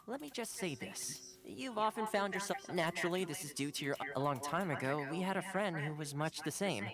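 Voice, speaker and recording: Playful polite young guide from a video game, expressive pitch, loud music underneath. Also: male voice, a little fast. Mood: courteous. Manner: polite, teasing, articulate. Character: playful, courteous young male retainer and guide.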